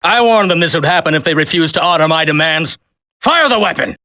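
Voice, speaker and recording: An actor playing a grim, principled terrorist colonel in a video game, speaking in solemn, measured speeches. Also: male voice, on the high side, lively intonation, sharp tone, dry recording. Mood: commanding. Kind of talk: video game cutscene acting.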